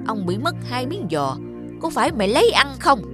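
A woman voicing a greedy, stingy old male landlord in a cartoon, gruff and bossy. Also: high-pitched, sing-song, normal speed. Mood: scolding. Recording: studio voice with loud music.

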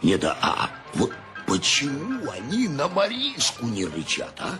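An actor playing a brash, sceptical sailor in a radio play, heckling in a blunt, colloquial way. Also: male voice, medium pitch, wildly swooping pitch, measured pace, over music. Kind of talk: Russian radio play acting.

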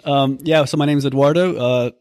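A man talks quickly in a friendly, reflective way. His voice sits at a middle pitch and sounds dry and close. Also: fairly even intonation, darkish tone, dry recording. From Brazil. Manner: friendly, reflective, many ums. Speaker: discussion participant.

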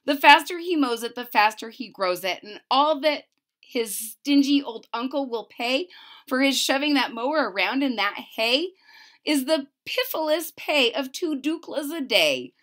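A woman with a high, bright voice reads a picture book to children. Her delivery swings widely up and down, with theatrical stress on key words. She drops into casual, chatty asides between the verses. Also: expressive, measured pace. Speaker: storyteller reading a picture book aloud. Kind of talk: expressive children's read-aloud with casual asides. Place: small room at home.

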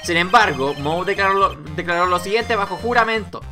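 A man's voice: high-pitched, expressive, a little fast, music in the background. A man voicing an earnest young defense lawyer in a video game, hesitant but insistent. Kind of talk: video game courtroom dialogue dubbing.

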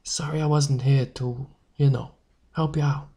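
A woman's voice: low-pitched, expressive, moderate pace. A woman voicing a tough, streetwise young newsboy leader in an audiobook story, slangy, emphatic and protective. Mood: reassuring.

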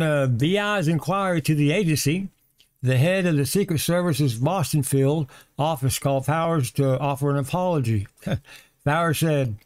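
A man's voice: a little high, darkish tone. Sarcastic man reading and commenting. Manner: reads text aloud, then adds sarcastic asides and chuckles. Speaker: commentator reading a news article. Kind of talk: casual commentary on a read-aloud article.

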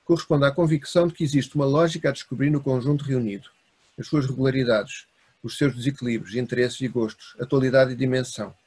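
A man with a European Portuguese accent giving a university lecture over a video call. He reads from notes in a measured way and lists items in short phrases. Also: medium pitch, steady tone, a little mellow, breathy edge. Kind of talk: academic lecture read from notes. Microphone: video call webcam.

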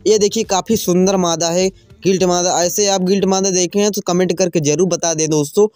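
A man's voice: high voice, fairly even intonation, fairly quick, a little mellow, no echo, faint music behind. A man narrating a casual farm vlog, informally addressing viewers as friends. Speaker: farm video channel host. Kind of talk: casual vlog narration, showing livestock for sale.

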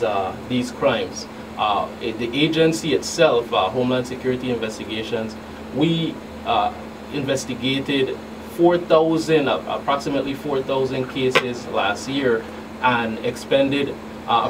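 A middle-aged man answering radio interview questions as a guest agent, halting and repeating words as he explains. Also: medium pitch. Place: small radio studio. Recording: distant mic, clear room hum.

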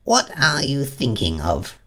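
A man voicing a touchy, easily offended storybook mouse, with a creaky voice and a severe, drawn-out delivery. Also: medium pitch, wildly swooping pitch, sharp tone, pitched above the performer's own voice, heavily acted. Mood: huffy, severe.

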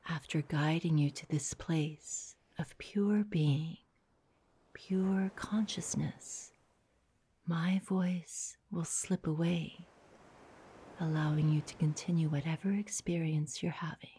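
Female meditation guide: low, very breathy, soothing, slow delivery with long pauses. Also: steady tone, a little hiss or hum. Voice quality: breathy, soft, half-whispered. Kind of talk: guided meditation, soft hypnotic narration.

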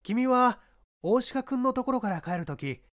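A man voicing a shrewd, authoritative police inspector who gives brisk orders in a mystery audiobook. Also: extremely high pitch, expressive, voice pushed up. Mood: probing. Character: shrewd, commanding middle-aged male police inspector. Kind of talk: dramatized mystery audiobook reading.

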